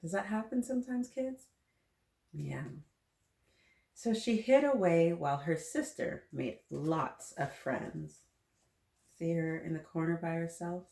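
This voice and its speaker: A woman with a fairly low voice hosts a children's story time at an unhurried pace. She reads aloud in a gentle, encouraging way, adding asides and questions for young listeners. The room gives her voice a noticeable echo. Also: vocal fry.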